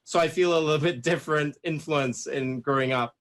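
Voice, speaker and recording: A man from the Caribbean answering interview questions in a relaxed, slightly playful way. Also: on the high side, fairly quick. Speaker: interview guest. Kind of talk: casual interview chat.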